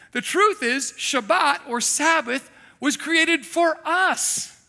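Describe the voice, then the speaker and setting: A man preaching a sermon as a pastor, telling animated, self-deprecating stories from his childhood in Thailand. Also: very high-pitched, vocal fry. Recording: long hall reverb.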